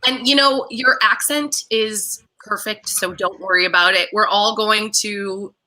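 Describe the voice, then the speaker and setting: A woman hosts an online talk show, opening with upbeat, welcoming introductions. Her voice is very bright and sharp. She speaks at an average pitch over a video call. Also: a little slow, poor audio.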